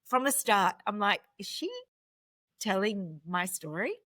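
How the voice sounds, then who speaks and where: An Australian woman hosts a relaxed interview, gushing with eager enthusiasm. Her voice sits at a middle pitch and sounds close and dry. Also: measured pace, dry recording. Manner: effusive, enthusiastic. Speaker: podcast host. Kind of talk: casual interview conversation.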